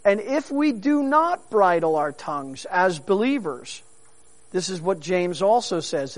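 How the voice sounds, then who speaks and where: A man preaching a sermon, earnest and unhurried, with frequent fillers and repeated words. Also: high voice. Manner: preaching with emphatic rises. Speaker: pastor.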